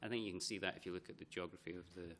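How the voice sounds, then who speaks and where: A man's voice: deep voice, steady tone, very fast, a bit bright, a little hiss or hum. A man giving evidence to a committee hearing, often repeating words as he thinks. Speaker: committee witness. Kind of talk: formal testimony.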